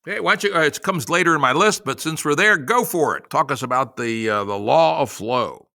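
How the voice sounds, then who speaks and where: A man's voice: a little high, expressive, brisk, creaky, pushed and bright. A man hosting a podcast interview, asking direct questions. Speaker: podcast host.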